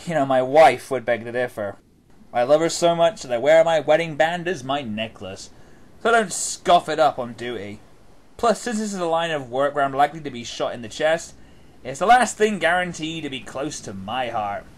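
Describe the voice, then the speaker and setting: A man's voice: fairly high pitch, expressive, normal speed, close to an everyday voice. A man playing a cheeky, talkative soldier with a flippant, chatty manner in an audio drama.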